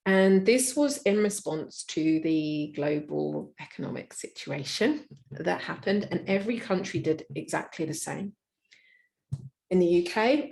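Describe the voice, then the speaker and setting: A middle-aged woman talks an audience through her slides. Her voice sits on the low side, and her delivery stays conversational, full of small fillers and restarts. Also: creaky, darkish tone. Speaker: presenter. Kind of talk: live slide presentation.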